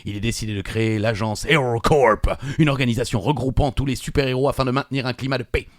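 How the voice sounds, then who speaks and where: A man's voice: mid-range voice, fairly even intonation, fast talker, no room sound, clean studio sound. A man co-hosting a quiz podcast, chatting casually and reading off long lists of facts between jokes. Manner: reads show facts in long run-on lists, chatty and joking. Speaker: quiz co-host.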